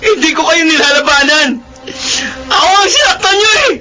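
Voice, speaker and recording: An actor playing a self-righteous, indignant young brother in a Filipino radio drama. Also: male voice, extremely high pitch, moderate pace, noisy background.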